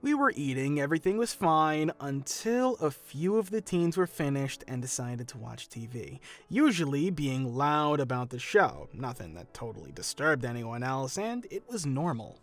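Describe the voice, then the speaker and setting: A man narrating a reader's story in a lively, expressive way, now and then laughing softly. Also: medium pitch, normal speed, hardly any pauses.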